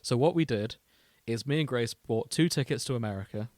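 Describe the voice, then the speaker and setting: A man's voice: medium pitch, a little fast, darkish tone, no echo. A man co-hosting a podcast, chatting casually and telling stories in a wry, mock-serious way. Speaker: podcast host. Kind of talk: casual comic chat.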